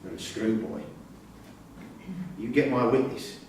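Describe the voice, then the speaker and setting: A man's voice: medium pitch, measured pace, darkish tone, close to an everyday voice. A man acting a volatile, suspicious prisoner in a staged reading, blunt and sweary in clipped street talk. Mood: guarded, tense. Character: volatile, suspicious male prisoner, an armed robber. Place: theatre room at a live staged reading. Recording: echoey hall, far mic, faint noise.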